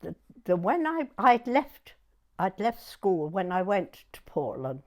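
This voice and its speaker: A very old woman with a southern English accent shares memories in a chatty oral history interview. Her pitch moves up and down with great animation, while her pace stays slow. She often doubles back and repeats her words. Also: very animated. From southern England. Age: 90s. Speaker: interviewee recalling her youth.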